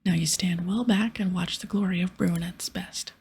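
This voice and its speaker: A woman voicing a bossy, proud, folksy male rum brewer from a pirate game, in a breathy whisper. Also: fairly low pitch, steady tone, normal speed, a little mellow, exaggerated voice. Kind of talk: reading game dialogue aloud in ASMR.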